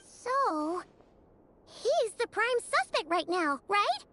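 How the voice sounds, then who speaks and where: A tiny fairy companion from a video game, with an extremely high, childlike voice. She is excitable and curious, and her pitch jumps around in animated exclamations. Faint ambience sits behind her. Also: takes it slowly, noisy background, full character voice. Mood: surprised, curious. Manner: exclamatory, speaks of self in third person.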